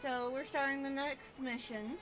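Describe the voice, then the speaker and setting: A woman giving casual gameplay commentary in a halting way, often trailing off mid-thought. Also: high voice, fairly even intonation, fairly bright tone, faint music behind, poor audio. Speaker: gamer recording a playthrough.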